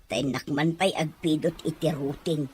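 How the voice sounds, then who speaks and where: A woman's voice: low voice, fairly even intonation, moderate pace, darkish tone, a little hiss or hum, lightly acted. A woman with an Ilocano accent playing a weary, worried household helper in a radio drama. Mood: resigned.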